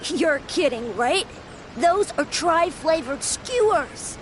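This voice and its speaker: A woman's voice: high-pitched, lively intonation, slow pace, slight background noise. A woman voicing a friendly, proud young boy in a video game, with an emphatic, exclamatory way of talking. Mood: surprised, proud.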